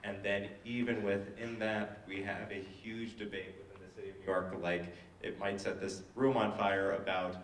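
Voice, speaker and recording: A man with a deep, flat voice delivers political remarks on a panel, stumbling and repeating words. The room is echoey around him. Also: normal speed, hardly any pauses. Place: indoor event room. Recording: echoey room, distant mic, faint noise.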